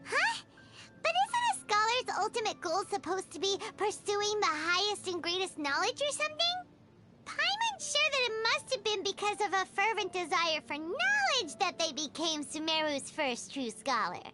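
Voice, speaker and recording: A woman voicing a tiny, excitable, food-loving female fairy companion in a video game, squeaky and childlike, bubbly and exclaiming. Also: normal speed, loud music behind the voice. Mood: pondering. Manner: speaks of self in third person, exclaiming, bubbly. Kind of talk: video game character dialogue.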